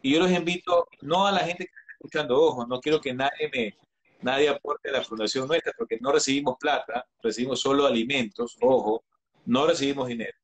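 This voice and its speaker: A man's voice: mid-range voice, a little fast, slightly rough, poor audio. A man in his thirties talking earnestly as a guest in an online interview, advising listeners with frequent restarts and hesitations, heard through a video call. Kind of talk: earnest live-stream conversation. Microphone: video call webcam.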